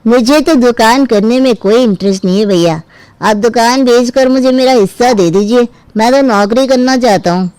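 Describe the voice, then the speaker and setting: A woman's voice: fairly high pitch, moderate pace, pauses often, a little mellow, dry recording, pitched above the performer's own voice, natural voice, low-quality recording. A woman voicing a self-interested younger brother who argues and complains in a cartoon family story. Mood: demanding. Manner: argumentative, complaining. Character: self-interested younger brother demanding his inheritance share. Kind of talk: acted dialogue in a Hindi cartoon family story.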